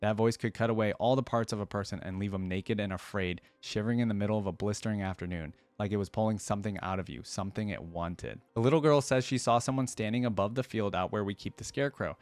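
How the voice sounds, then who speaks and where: A man's voice: on the low side, fast talker, few pauses, a little mellow, clean tone, no echo. A man hosting a reaction video, talking casually and reading a story aloud.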